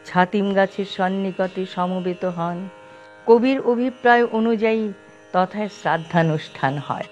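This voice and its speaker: A woman reading formal, measured scripted narration for a documentary. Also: mid-range voice, a little mellow. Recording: dull, lo-fi, music behind.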